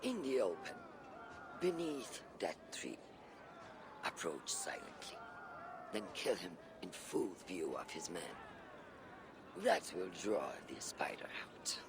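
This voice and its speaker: An actor playing a seasoned older assassin mentor in a video game, shrewd and patient, speaking in measured, formal, archaic phrases. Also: male voice, deep voice, big pitch swings, background noise, exaggerated voice. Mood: low, scheming. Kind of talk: dramatic video game dialogue.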